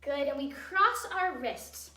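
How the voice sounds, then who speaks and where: A woman's voice: high voice, lively intonation, slow, sharp tone. A young woman, a ballet teacher, leads children through a dance in simple, encouraging step-by-step instructions. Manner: encouraging, childlike-simple phrasing, counting out moves. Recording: roomy echo, distant microphone.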